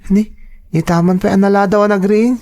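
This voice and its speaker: A woman's voice: mid-range voice, fairly even intonation, fairly quick, mellow, close to an everyday voice. A woman voicing a studious, trusting schoolchild, the curious younger sibling in an audio drama, with a Filipino accent. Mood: curious, concerned. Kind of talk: Ilocano audio drama acting.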